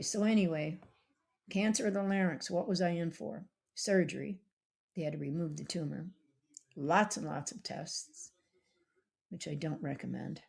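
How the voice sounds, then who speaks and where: A woman's voice: fairly low pitch, vocal fry, darkish tone. A woman doctor hosting her own podcast, rambling in a chatty solo monologue and coaxing listeners to call and text her.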